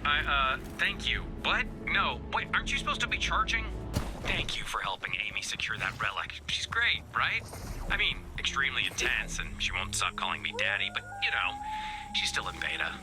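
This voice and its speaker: A man playing an excitable, quirky, exasperated scientist in a video game, quippy and given to awkward rambling. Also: mid-range voice, moderate pace. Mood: awkward, flustered. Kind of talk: video game character voice acting. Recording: music behind the voice.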